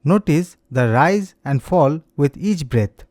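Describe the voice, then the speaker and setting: A man guides a relaxation meditation in a gentle, soothing way. His voice is soft-edged and mellow, a little high for a man, with pitch that rises and falls freely through each unhurried instruction. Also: animated, dry recording, clean studio sound. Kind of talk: slow guided meditation, scripted narration.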